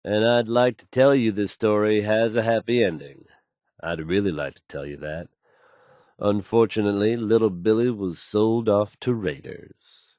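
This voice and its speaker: An actor playing a self-assured, smooth male radio DJ in a game, delivering news with polished, wry showmanship. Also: fairly low pitch, normal speed, darkish tone, no echo, clean studio sound, lightly acted. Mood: grave, sympathetic. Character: confident, suave, upbeat male radio DJ.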